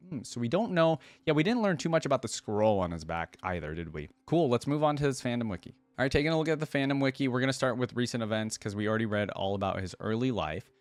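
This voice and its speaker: Male reaction host, lowish register, informal read-and-comment delivery, dry recording. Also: dry recording. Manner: informal, addresses viewers as guys and dude. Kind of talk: casual reaction commentary, reading wiki text aloud and commenting.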